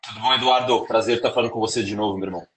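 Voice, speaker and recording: A man's voice: on the low side, very fast. Young man with a São Paulo accent. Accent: São Paulo Portuguese. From Brazil, São Paulo. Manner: explanatory, steady and lecturing. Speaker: interview guest. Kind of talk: live stream interview.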